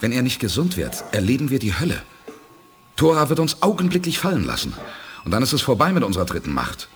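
A man playing a calm, resolute space commander in a 1980s German radio play, firm and earnest in his delivery. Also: mid-range voice, fast talker. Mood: urgent, determined. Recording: noisy 1980s radio drama production.

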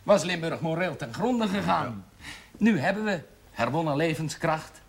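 Casting: earnest union chairman, fairly high gravelly voice, formal oratory, 1970s TV drama. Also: animated, measured pace, background noise. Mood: rousing, proud. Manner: oratorical, emphatic. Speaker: actor as meeting chairman. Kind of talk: 1970s television drama acting, meeting speech.

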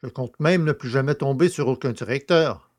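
A man's voice: mid-range voice, moderate pace, no room sound, studio quality, natural voice. A man playing a plain-spoken, stubborn poacher fresh out of prison in an audio drama.

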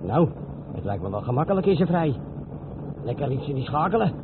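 A breezy, overconfident young trucker's mate in an old radio drama. His voice is a bit high and lively. It comes through a narrow, tinny recording. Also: fairly quick. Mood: cocky, eager. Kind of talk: old radio play dialogue. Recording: tinny radio recording with loud vehicle noise.

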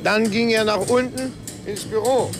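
An older male actor playing a methodical retired police inspector in a 1950s German radio drama, gravelly and emphatic, with dry amusement. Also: sits high, fairly even intonation, unhurried, over music. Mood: probing, matter-of-fact.

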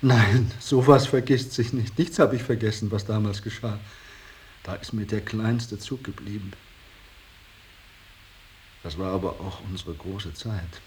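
A low-voiced actor playing an embittered, idealistic painter in a radio play. He speaks very slowly, with solemn, rhapsodic fervour that can rise from a hush to an outburst. Faint tape hiss runs under the studio recording. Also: natural voice. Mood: reverent, nostalgic. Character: Lachmann, embittered, idealistic male painter revering his old master. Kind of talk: 1980s German radio drama acting.

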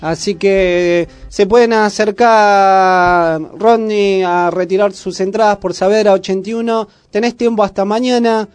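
A man's voice: high voice, fairly even intonation, measured pace, few pauses, clear, resonant tone, dry recording, soft background music. A man with a Buenos Aires accent making casual announcements as co-host of a community radio show. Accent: Argentine, Buenos Aires.

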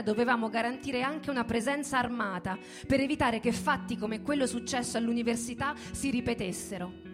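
A woman reads literary prose aloud in a dramatic, solemn way. Her delivery is measured, like staged radio storytelling, with instrumental music clearly playing behind her. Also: fairly high pitch. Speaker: reader in a radio reading performance.

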